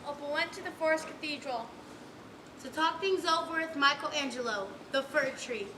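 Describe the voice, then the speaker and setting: A young female performer playing a storybook narrator in a school stage play, with measured storytelling. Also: high voice, close to an everyday voice. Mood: gentle storytelling. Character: storybook narrator telling of a little girl. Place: stage in a large room. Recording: echoey, noisy stage recording.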